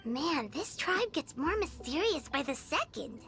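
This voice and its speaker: Squeaky excitable tiny companion. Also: female voice, heavily acted. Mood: amazed. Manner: excitable, quick exclamations. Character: tiny chirpy female companion, curious and blunt. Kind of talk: game voice acting. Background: loud game music.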